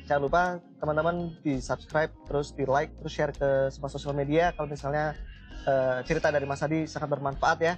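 A man's voice: on the high side, flat delivery, brisk, faint music behind. A young man, hosting a casual interview in a cafe and joking often. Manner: joking, laughs often, mixes in Javanese. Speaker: interviewer host. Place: small bright cafe indoors.

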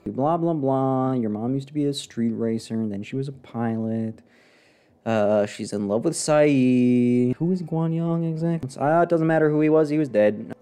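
A young man gives live game commentary in a mellow, medium-pitched voice. He sounds sarcastic, deadpan and fed up, and speaks close to the mic with music faintly behind him. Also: moderate pace, smooth. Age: young adult. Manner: deadpan, mocking, exasperated. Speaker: streamer playing and reacting to a game. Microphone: desk microphone close up, with headset.

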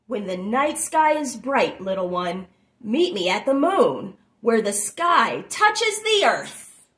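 A woman's voice: a little high, sing-song, slow, a bit bright, exaggerated voice. A woman voicing a loving, reassuring mother elephant in a children's storybook, in a tender, soothing manner. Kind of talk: expressive children's storybook read-aloud.

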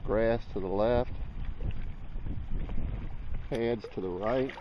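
A man in a small boat on a lake, giving casual running commentary on his fishing with some mild swearing, recorded outdoors on a camera boom. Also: extremely slow. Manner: chatty, talks to himself, mild swearing. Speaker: fishing vlogger. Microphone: action camera on a boom. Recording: dull lo-fi camera audio with loud wind and water. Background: wind and lapping water.

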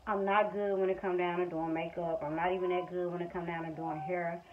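A young American woman from Missouri talks to camera in a frank, colloquial, off-the-cuff way. Her voice sits a little low. The home phone recording is somewhat dull, with faint household noise behind her. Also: level tone, brisk. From Missouri, United States. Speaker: vlogger, stay-at-home mother. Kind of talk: casual off-the-cuff chat to camera. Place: small room at home, indoors. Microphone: phone at arm's length or propped nearby. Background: household background noise.

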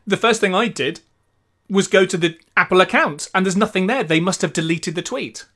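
A man's voice: sits high, brisk, sharp tone. A man in his forties hosting a casual tech discussion from a home study on a studio microphone. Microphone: large condenser studio mic.

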